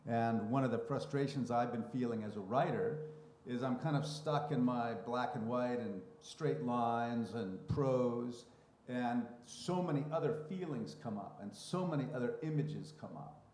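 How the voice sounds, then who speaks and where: A man's voice: medium pitch, a little slow, darkish tone, a little hiss or hum. Man speaking in a roomy hall. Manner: reflective, expansive, thinking aloud. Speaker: moderator and writer. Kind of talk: live panel conversation. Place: public library event room. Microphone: handheld or stand mic. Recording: roomy echo of a live event.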